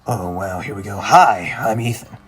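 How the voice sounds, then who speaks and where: A man voicing a blunt, sarcastic, unimpressed young friend in an animated comedy-drama, with casual, sardonic delivery. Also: fairly low pitch, normal speed, slightly rough, faint music behind.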